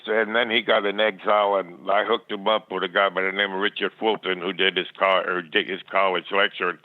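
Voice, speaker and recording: Old guy guest on a phone line, lowish voice, rambles and stammers thru his memories. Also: dry recording. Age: older. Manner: rambling, plainspoken, stammering repetitions. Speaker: podcast guest. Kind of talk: reminiscing in interview. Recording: tinny, band-limited phone line.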